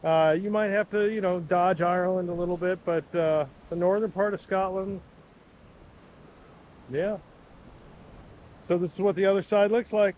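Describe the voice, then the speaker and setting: A man's voice: high-pitched, fairly even intonation, normal speed, long pauses, lo-fi sound, a little hiss or hum. A middle-aged man vlogging a hike along a rocky seaside trail, chatting casually and rambling with curiosity into a camera on a pole. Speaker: hiking vlogger. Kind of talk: casual walking commentary. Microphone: 360 camera on a pole.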